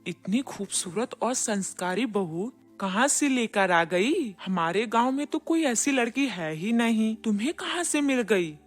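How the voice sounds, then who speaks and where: A woman's voice: on the high side, lively intonation, a little slow, hardly any pauses, soft background music. A woman voicing a chatty, admiring village neighbour in a storybook cartoon, given to exclaiming. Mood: amazed.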